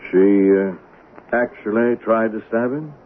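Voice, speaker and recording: An actor in a 1970s radio drama playing a formal, measured, persistent police sergeant. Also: male voice, fairly low pitch, lightly acted. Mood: probing. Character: probing, persistent male police sergeant interrogating suspects. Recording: tinny narrow-band 1970s radio recording, noisy.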